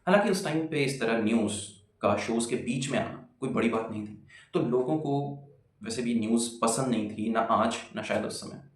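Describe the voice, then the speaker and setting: A man narrating a video as dramatic, suspenseful storytelling, with pauses and rhetorical questions, in an echoing recording with music behind. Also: mid-range voice. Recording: roomy echo, music behind.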